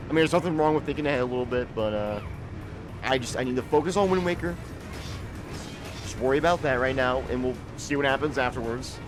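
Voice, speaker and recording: A young man talks loosely while playing a game on a live stream, tripping over his first words and circling back to finish his thoughts. His voice sits at an ordinary middle pitch. Faint game music plays underneath. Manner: rambling, repeats words when starting sentences. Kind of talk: casual live-stream chat.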